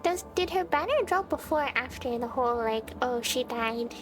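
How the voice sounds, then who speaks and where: A woman with a high-pitched voice chats casually on a game livestream. She thinks aloud and often puts questions to her viewers. Game music plays clearly behind her. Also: lively intonation, moderate pace. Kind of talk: casual chat while playing a game for viewers. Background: video game music.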